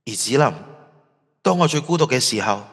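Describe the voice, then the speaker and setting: Actor as conflicted young man, sweeping emotional pitch. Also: animated, fairly quick, fairly bright tone. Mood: reflective. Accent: Hong Kong Cantonese. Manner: introspective, earnest, emotional. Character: conflicted, remorseful young man torn in love. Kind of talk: audio drama acting. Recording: long hall-like reverb.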